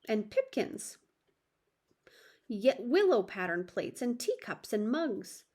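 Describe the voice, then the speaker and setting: A woman's voice: mid-range voice, slightly rough. A woman reading a children's story aloud expressively, with asides to the listener.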